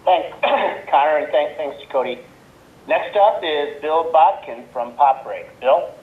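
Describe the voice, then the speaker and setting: Male call moderator, fairly high voice, brief polite hand-offs over a tinny telephone line. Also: measured pace. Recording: thin, narrow telephone line.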